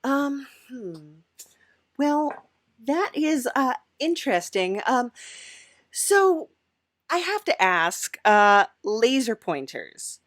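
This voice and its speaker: A woman hosting an improvised comic interview, speaking in a hesitant, tentative way with many halting starts. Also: high-pitched, sing-song, fairly bright tone, no room sound, clean studio sound. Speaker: podcast host.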